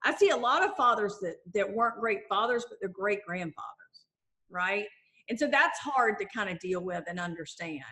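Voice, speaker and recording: A middle-aged woman author talking in a conversational interview, lively and acting out the voices of the people she quotes. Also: medium pitch, moderate pace. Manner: animated, acts out quoted voices. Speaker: author and guest.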